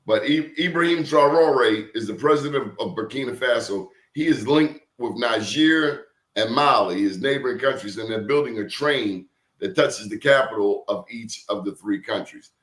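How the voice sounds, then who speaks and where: A man's voice: mid-range voice, normal speed, a bit bright, echoey room. A man hosting an interview show, telling stories in an emphatic, preachy way. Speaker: show host. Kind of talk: casual interview talk.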